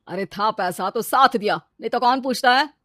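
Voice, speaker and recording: A woman voicing an arrogant, fickle husband, boastful and wheedling, in an animated story. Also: fairly high pitch, moderate pace, dry recording, clean studio sound, close to an everyday voice. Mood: scornful. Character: arrogant, fickle, money-proud husband, easily smitten.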